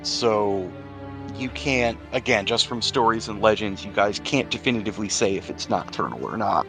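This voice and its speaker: A man acting as game master, narrating a tabletop role-playing game in measured, deliberate phrases with pauses. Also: lowish voice, pushed and bright, soft background music.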